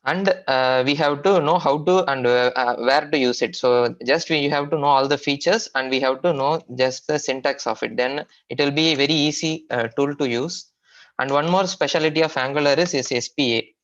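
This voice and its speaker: A man's voice: mid-range voice, fairly bright tone. Indian-accented male trainer, dull lo-fi audio. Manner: halting, repetitive explaining with frequent hesitations. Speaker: software trainer. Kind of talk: informal technical lecture.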